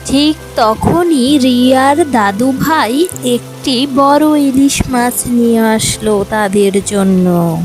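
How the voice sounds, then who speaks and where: A woman's voice: sits high, darkish tone, rough recording. A woman reading scripted narration for a children's fairy tale as its storyteller.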